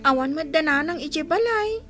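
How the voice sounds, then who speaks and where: A woman's voice: sits high, expressive, brisk, music in the background. A woman with an Ilocano accent voicing a small, earnest, tattling village child in a radio comedy drama.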